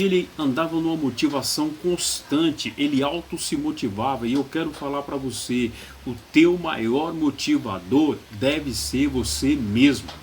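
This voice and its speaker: Brazilian male preacher over background noise. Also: mid-range voice. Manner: emphatic, repeats phrases, asks and answers rhetorical questions. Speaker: pastor and motivational coach. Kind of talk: motivational Bible preaching.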